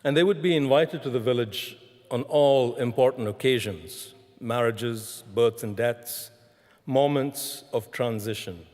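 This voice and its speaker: A man giving a graduation speech in a measured storytelling manner, recorded in a large hall. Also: mid-range voice, darkish tone. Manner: measured, storytelling delivery with deliberate repetition. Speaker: graduation ceremony speaker. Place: large concert hall, live ceremony. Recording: echoing large concert hall.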